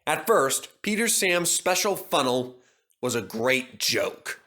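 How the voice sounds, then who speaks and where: A man's voice: medium pitch, sing-song, takes it slowly, pushed and bright. A man reading a children's story as a scripted narrator.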